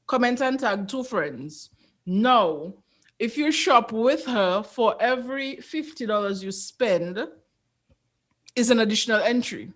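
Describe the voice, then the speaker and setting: A woman with a Caribbean English accent co-hosting a casual podcast, talking in a playful, slangy way and giving shout-outs. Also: fairly high pitch. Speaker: podcast co-host.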